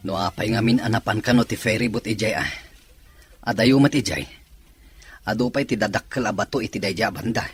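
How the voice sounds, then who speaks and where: A man's voice: on the low side, fairly quick, pauses often, soft background music, close to an everyday voice. A man with a Filipino Ilocano accent playing a weary, worried man in a drama. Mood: concerned. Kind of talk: drama dialogue.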